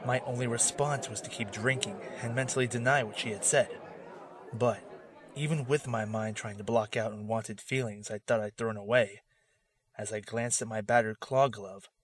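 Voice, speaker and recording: A man's voice: fairly low pitch, fairly even intonation, normal speed, a faint crowd, lightly acted. A man playing a jaded, self-doubting male werewolf knight turned drifter in a fantasy audio drama, with a weary, brooding manner. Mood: brooding, reflective.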